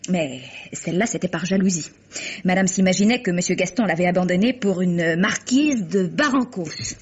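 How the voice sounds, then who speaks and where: A woman's voice: fairly low pitch, moderate pace, slightly rough. A woman playing a chatty, eager Parisian housemaid in a 1950s-style French radio drama, colloquial and gossipy. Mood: confiding. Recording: muffled, hazy old radio recording.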